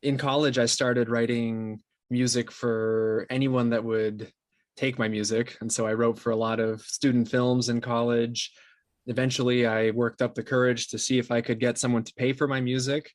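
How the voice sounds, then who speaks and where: A man's voice: mid-range voice, little pitch movement, moderate pace, no room sound. A young man from Brazil talking casually as an interview guest. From São Paulo, Brazil. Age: young adult. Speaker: podcast guest, composer and sound designer.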